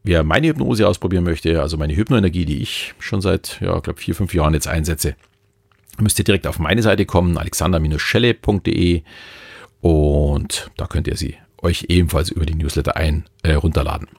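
A man with a Bavarian accent who talks in a loose, rambling way. His voice sits very low and carries a gravelly fry with a husky edge. The recording is close and dry. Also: normal speed, no room sound. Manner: rambling, loose and chatty with many fillers. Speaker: podcast host and hypnotist. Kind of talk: casual podcast talk.

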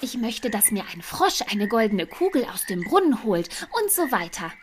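A woman's voice: mid-range voice, very animated, moderate pace, slight background noise, lightly acted. A woman playing a dreamy, romance-struck young princess in a fairy-tale radio play, gushing and politely insistent.

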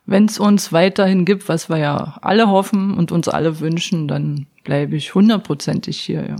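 A woman's voice: on the low side, fairly even intonation, normal speed, darkish tone. A woman working in a pub answers interview questions casually, hesitating and restarting often. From not from Berlin. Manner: chatty, hesitant, many restarts and fillers. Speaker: pub staff.

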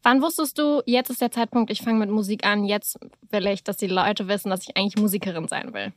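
A woman's voice: medium pitch, brisk, no echo, studio quality. A woman interviewer asking a singer eager, friendly questions.

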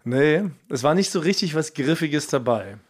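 A man's voice: on the high side, expressive, moderate pace. A man hosting a casual comedy podcast, talking in a jokey, mock-boastful way. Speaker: podcast host.

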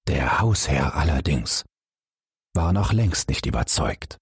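Very deep, slow bedtime storyteller man. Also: breathy edge, no room sound, studio quality. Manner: calm, gently addressing children. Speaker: audiobook narrator. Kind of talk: bedtime fairy tale reading.

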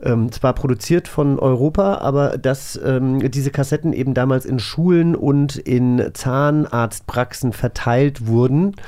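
A man hosts a relaxed chat show. His voice sits at an average pitch for a man, and he talks in a loose, conversational way as he moderates. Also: measured pace, few pauses. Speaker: podcast host.

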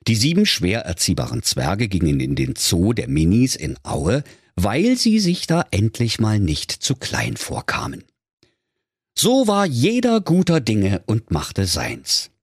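A male storyteller narrates a comic fairy tale with mock solemnity. His voice is fairly low and raspy, his melody rises and falls widely, and the recording is dry and close. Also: wildly swooping pitch, unhurried, no echo.

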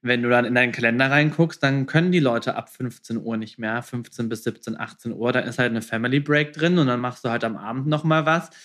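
A man's voice: medium pitch, steady tone, a little fast, hardly any pauses, fairly bright tone. A man hosting a podcast interview in a conversational way, slipping English phrases into his talk. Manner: mixes English phrases into speech. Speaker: podcast host.

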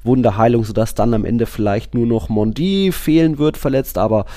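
A man's voice: medium pitch, moderate pace, warm tone, dry recording, clean studio sound. A man chatting casually as co-host of a football podcast, in slangy, colloquial language.

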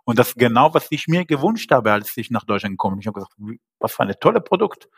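A man's voice: mid-range voice, big pitch swings, fast talker, vocal fry, slightly rough. A man whose first language is not German, a podcast guest speaking hesitantly with frequent fillers and grammar slips. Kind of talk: podcast interview.

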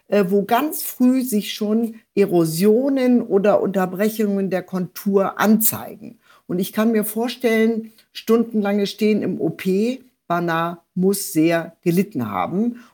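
A woman's voice: medium pitch, a little mellow. An older woman, explaining as a medical expert and drawing on her own experience. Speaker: rheumatologist, expert guest. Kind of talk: explanatory expert talk with personal recollections.

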